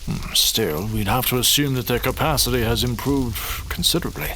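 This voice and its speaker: A man's voice: medium pitch, normal speed, bright, forceful tone, slight background noise, natural voice. An actor playing a skeptical, commanding nobleman in an audio drama, speaking in a terse, formal, unimpressed way. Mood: stern.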